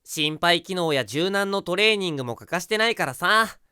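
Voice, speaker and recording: A performer playing an energetic, teasing teenage schoolboy in an anime-style voice drama, with boyish, slangy speech. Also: mid-range voice, moderate pace, clean tone, no echo, clean studio sound. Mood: eager, boastful. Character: energetic, upbeat teenage schoolboy, passionate dancer.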